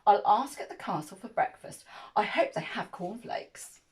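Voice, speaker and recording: A woman's voice: mid-range voice, expressive, moderate pace, slight background noise, lightly acted. A woman voicing a plucky, curious young boy in a children's story, earnest and plain-spoken.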